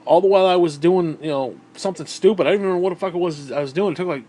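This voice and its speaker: A man's voice: sits high, racing, dark tone, low-quality recording. A man with a stuffed-up, nasal voice, talking in a bitter, profane, rambling monologue into a tablet. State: stuffed-up nose. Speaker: vlogger.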